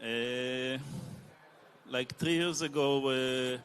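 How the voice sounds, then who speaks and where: A man, answering on a conference panel with many hesitant pauses. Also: mid-range voice, fairly even intonation, fairly bright tone. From Israel. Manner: halting, many filler pauses. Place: conference stage.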